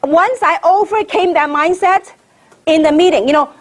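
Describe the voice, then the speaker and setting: A woman's voice: very high voice, normal speed. A middle-aged woman from Hong Kong speaks as a seminar trainer, emphatic and repetitive, throwing rhetorical questions at her listeners. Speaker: network marketing trainer giving a seminar. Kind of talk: motivational sales training talk to a room.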